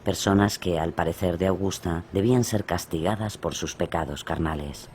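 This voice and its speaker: Very deep male true-crime narrator. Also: normal speed, a little mellow. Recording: faint background ambience noise.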